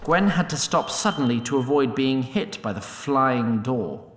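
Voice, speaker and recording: A male narrator tells a scripted fantasy story with droll, mock-grand theatricality. His mid-pitched voice rises and falls expressively, with an echoey room sound around it. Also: animated, measured pace, fairly bright tone, slight background noise.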